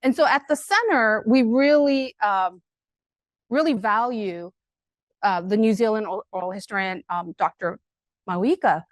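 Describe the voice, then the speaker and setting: A middle-aged woman with a loud voice, giving a lively, conversational academic talk to an audience, full of fillers and enthusiasm. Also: medium pitch, unhurried, no room sound. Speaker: guest speaker at an academic colloquium.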